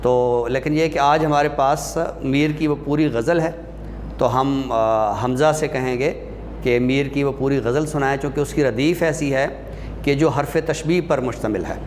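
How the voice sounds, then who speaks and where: A man lectures at a middling pitch. He explains deliberately, with hesitant pauses. The room rings with long reverb over a faint layer of noise. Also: darkish tone.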